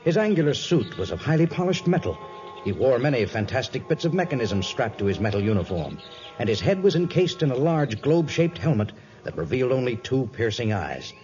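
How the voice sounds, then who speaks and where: A man's voice: mid-range voice, big pitch swings, fairly quick, darkish tone. A man narrating an adventure serial as announcer, in a dramatic scripted 1950s radio style. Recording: dull vintage 1950s radio recording. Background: faint music.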